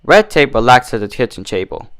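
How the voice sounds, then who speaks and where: Expressive male story reader, lively pitch. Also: a little fast, clean tone, no echo. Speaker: fan fiction reader and reviewer. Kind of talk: storybook narration and casual review.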